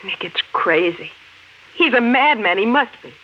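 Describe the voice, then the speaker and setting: A woman's voice: a little high, expressive, unhurried. An actress playing a frightened young woman in a 1950s radio drama, emotional and breathless. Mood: uneasy. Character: frightened, anxious young woman trapped underground. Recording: muffled, noisy 1950s radio recording.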